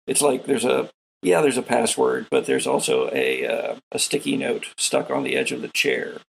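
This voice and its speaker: Guy game mastering a space rpg, real gravelly n husky, takes his time describing stuff. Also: medium pitch, sing-song, rough recording. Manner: deliberate, descriptive, with pauses. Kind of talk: improvised narration of a tabletop sci-fi game.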